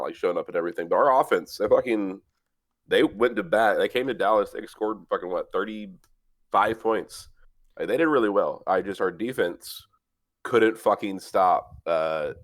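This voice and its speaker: Young man, stuffy nose from a cold, low voice, dry close mic, rambling with fillers. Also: dry recording. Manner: rambling, swears often, many fillers. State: congested with a cold. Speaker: podcast host. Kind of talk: casual sports podcast chat.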